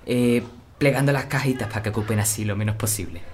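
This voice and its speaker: Fussy young lad, low-pitched, chatty, excitable, faint background noise. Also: female voice, quick pace. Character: chatty, fussy, know-it-all teenage or young son. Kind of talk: audio drama dialogue.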